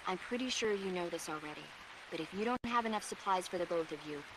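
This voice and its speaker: A woman's voice: lowish voice, animated, quick pace, close to an everyday voice. Woman acting a reassuring radio medic with background hiss. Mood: firm. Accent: American. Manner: reassuring, instructive. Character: calm, caring female military medic on radio support. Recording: hissy radio-call audio.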